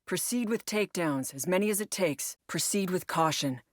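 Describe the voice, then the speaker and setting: A woman playing a composed female operations agent in a video game, briefing a squad in a measured, matter-of-fact way. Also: on the low side, expressive, no echo, clean studio sound, natural voice. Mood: focused, instructive. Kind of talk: scripted video game voice lines.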